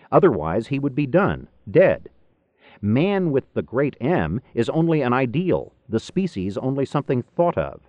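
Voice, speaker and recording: Male narrator for scripted philosophy, very animated intonation, deliberate pauses, close dry sound on lo-fi tape. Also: medium pitch, no echo. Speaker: audiobook reader. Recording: dull, lo-fi cassette tape recording.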